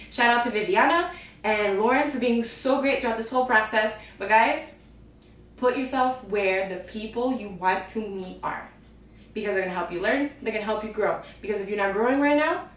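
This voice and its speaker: A woman, raspy and out of breath, giving an energetic, slangy motivational vlog from home. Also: mid-range voice, brisk. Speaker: vlogger and trapeze instructor. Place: her home. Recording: echoey room, distant mic, faint noise.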